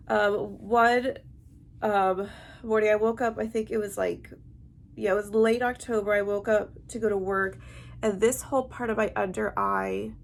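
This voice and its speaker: A woman with a stuffy, heavily congested nasal voice, talking to camera in a halting, explanatory way in a personal vlog. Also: fairly high pitch. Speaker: vlogger. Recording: amateur recording with light background noise.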